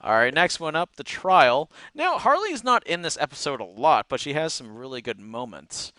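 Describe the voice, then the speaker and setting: Bright, clear-voiced man chatting. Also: on the high side, very animated, moderate pace, no room sound. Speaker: co-host reviewing cartoon episodes. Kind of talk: casual chat recapping episodes.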